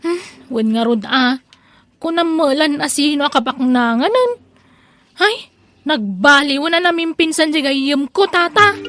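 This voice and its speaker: A woman's voice: high-pitched, measured pace, a bit bright, slight background noise. A woman with an Ilocano accent acting a humble, chiding village mother in a comedic audio drama. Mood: complaining. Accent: Filipino, Ilocano.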